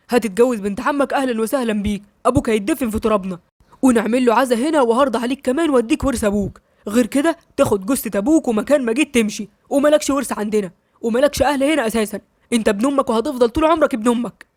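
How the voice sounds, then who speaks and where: A woman's voice: on the high side, rapid-fire, darkish tone, no room sound, clean studio sound, natural voice. A woman reading as a stern old village patriarch. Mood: scolding. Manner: commanding, scolding.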